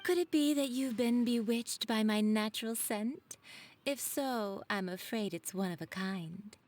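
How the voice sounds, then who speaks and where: A woman's voice: on the high side, measured pace, a little mellow, close to an everyday voice. A woman playing a flirtatious, self-assured perfume maker in a video game, with a coy, teasing, theatrical delivery. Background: video game music.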